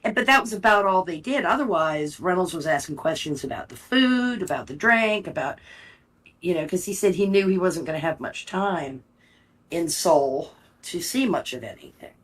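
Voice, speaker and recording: A woman with a very low voice for a woman chats casually as a stream co-host. She tells her story in a chatty way, stopping and restarting her sentences. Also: animated, moderate pace, a bit bright, husky. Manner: chatty storytelling with false starts. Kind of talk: casual livestream chat.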